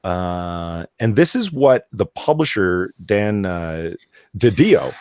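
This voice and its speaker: A man with a low voice swings his pitch widely as he reads out quotes and picks them apart. He sounds wry and incredulous, recorded close with a dry, dead sound. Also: big pitch swings, slow, darkish tone, no room sound. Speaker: podcast co-host. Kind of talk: casual live-stream chat, reading quotes aloud.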